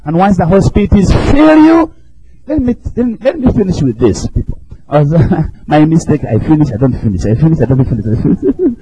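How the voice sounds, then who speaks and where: A middle-aged man from Africa with an East African accent, preaching a fervent sermon in a church, often shouting and chuckling. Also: on the high side, lively intonation, a little fast, a little hiss or hum, low-quality recording. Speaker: visiting preacher.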